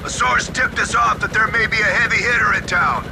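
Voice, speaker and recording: An actor playing a tough, cool-headed covert operative in a military video game, with a commanding, clipped delivery. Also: male voice, high voice, steady tone, fairly quick, vocal fry, background noise. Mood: cool, wry. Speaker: squad leader.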